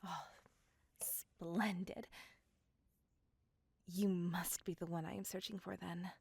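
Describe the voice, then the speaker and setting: Slow, creaky, courtly vampire played by a woman. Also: lowish voice, little pitch movement, darkish tone, no room sound, heavily acted. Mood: polite, hesitant. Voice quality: breathy, often dropping into whisper. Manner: formal, old-fashioned, ornate, pleading speech. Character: courtly, besotted, possessive female vampire of great age. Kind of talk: ASMR roleplay, scripted monologue.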